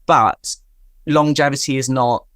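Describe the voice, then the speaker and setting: A woman's voice: very deep voice, fairly even intonation, a little slow, no room sound. Talkative woman, dry sound. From Tanzania. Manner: emphatic, talkative, explains with examples. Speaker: guest, fashion stylist. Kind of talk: conversational interview.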